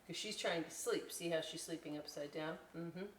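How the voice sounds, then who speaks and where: A woman's voice: low voice, moderate pace, reverberant. Woman, story time host, casual read-aloud, playful chatty asides, informal, distant mic, faint background noise. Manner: playful, informal, often checks in with "right?".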